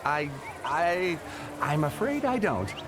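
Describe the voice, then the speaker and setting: A man's voice: a little high, sing-song, slow, noisy background. An actor playing a timid, deferential male gate guard in a video game, polite and nervous.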